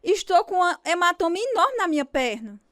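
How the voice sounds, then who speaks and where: A very high-voiced woman schoolteacher from the countryside in a radio drama. She speaks formally and emphatically, in a dry studio sound. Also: big pitch swings, fairly quick, fairly bright tone, dry recording, exaggerated voice. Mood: indignant.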